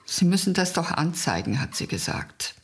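A weary aunt being questioned in a crime drama. Her voice is heavily creaky, and she talks bluntly, with dry indifference. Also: on the low side, sing-song, normal speed. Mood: blunt, indifferent. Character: weary, indifferent, plain-spoken aunt of delinquent boy. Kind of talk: German radio crime drama acting.

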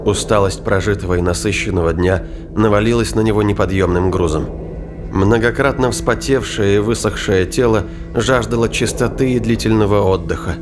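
A man giving a scripted, dramatic narration of a science fiction story. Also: lowish voice. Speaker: audiobook narrator.

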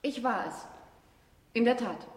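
An actress playing a young noblewoman, a grave and resolute witness, speaking in measured formal phrases in a radio drama. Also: on the high side, expressive. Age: young adult. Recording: echoey hall with long reverb.

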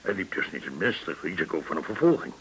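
Shrewd formal gentleman informant in a radio play, deep bright voice that swings about, hissy old recording. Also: very animated, poor audio. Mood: measured explaining. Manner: formal, measured, deliberate explaining. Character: well-connected, courteous, shrewd gentleman informant in Hong Kong. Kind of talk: Dutch radio drama acting.

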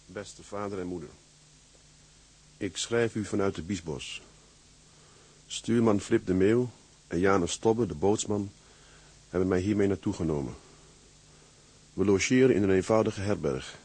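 An actor playing a weary, gruff sea captain in a Dutch radio drama, curt and hesitant. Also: male voice, sits low, unhurried, long pauses, darkish tone. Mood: calm, reflective. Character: weary, gruff sea captain, brooding but fond of his crew.

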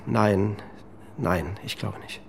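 An actor playing an anxious, worn-out husband with a drinking habit, answering questions in a halting, defensive way in a radio drama. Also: male voice, lowish voice, steady tone, vocal fry, a little hiss or hum. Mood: unsure. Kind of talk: naturalistic radio drama acting.

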